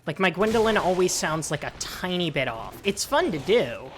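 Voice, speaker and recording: A woman with a low, androgynous and slightly raspy voice, chatting casually with viewers while she plays and talks game lore. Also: big pitch swings, moderate pace, music in the background. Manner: soothing, chatty, thanks viewers often. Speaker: livestreamer and lore host. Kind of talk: casual livestream chat while gaming.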